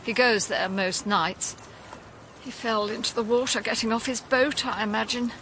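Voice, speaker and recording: A woman's voice: fairly high pitch, steady tone, moderate pace, pushed and bright, rough recording. An actress playing a genteel, matter-of-fact older woman in a radio drama, with an English accent and a composed manner. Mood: resigned. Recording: outdoor sound effects, clear background noise.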